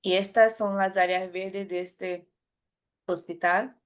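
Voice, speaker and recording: A woman presenting at an academic conference, her speech carrying a Brazilian Portuguese accent from her native tongue. She speaks carefully at a medium pitch, often stopping to restart or correct a word before moving on. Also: steady tone, takes it slowly, clean tone. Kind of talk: conference talk.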